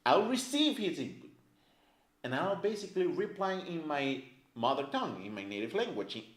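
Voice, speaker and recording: A chatty male teacher explains things in a lively, bouncing voice that sits a little high. He keeps checking in with "right?" and leans on "like". The room around him is echoey. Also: moderate pace. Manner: animated, chatty, many fillers like "right?" and "like". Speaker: language teacher. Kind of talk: casual explanatory lesson to camera. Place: indoor room, filmed by a camera operator.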